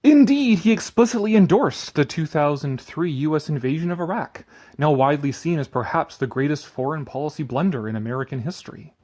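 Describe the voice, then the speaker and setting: A man's voice: fairly high pitch, animated, a little fast, a little mellow. A man giving a dramatic reading with sarcastic, exasperated asides and casual swearing, shifting between declaiming and offhand muttering. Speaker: commentator reading an article aloud.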